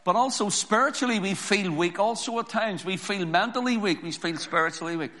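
Hoarse preaching man. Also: sits high. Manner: slow, deliberate scripture reading building to louder emphatic preaching. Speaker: pastor. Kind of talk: sermon.

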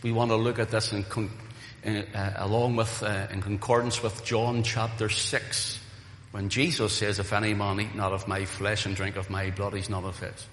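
A man's voice: fairly low pitch, little pitch movement, moderate pace, fairly bright tone, slight background noise. A pastor preaching a Sunday sermon, earnest and rising to emphatic calls to the congregation, his voice a little weak from recent voice loss. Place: church hall. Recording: roomy echo of a reverberant hall.

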